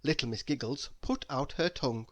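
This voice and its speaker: A woman reading a children's story aloud in an expressive, deliberate narrating style. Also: rumbling low. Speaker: storybook reader.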